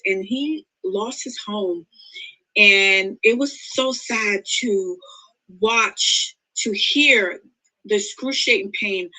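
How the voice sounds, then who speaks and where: Woman, 50s, podcast guest; bright, forceful, earnest and emphatic. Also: mid-range voice, rough recording. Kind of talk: interview conversation.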